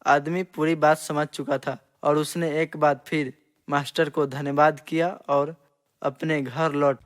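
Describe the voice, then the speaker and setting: A man's voice: on the high side, little pitch movement, unhurried. A man reading scripted narration for a moral story.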